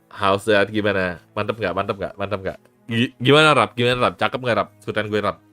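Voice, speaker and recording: A man's voice: fairly low pitch, quick pace, pushed and bright, no echo, soft background music. Indonesian-accented man chatting on stream. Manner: chatty, laughs often, swears casually, restarts words. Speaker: VTuber streamer. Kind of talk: casual live-stream chat.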